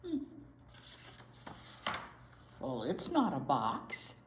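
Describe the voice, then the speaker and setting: A woman hosting a children's storytime, reading aloud in an expressive, exaggerated, playful way. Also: a bass voice, drawn-out words. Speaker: children's storytime host and teacher. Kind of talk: read-aloud to young children. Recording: roomy echo, clear background noise.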